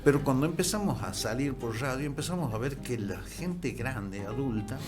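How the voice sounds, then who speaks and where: A middle-aged man with an Argentine Spanish accent, a writer and musician telling history in a relaxed chat, with hesitant restarts and animated bursts. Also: mid-range voice, moderate pace. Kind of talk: conversational storytelling. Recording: music playing behind.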